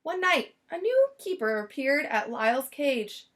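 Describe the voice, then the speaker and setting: A woman reading a children's picture book aloud as storyteller, expressively and with deliberate dramatic emphasis. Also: sits high, big pitch swings.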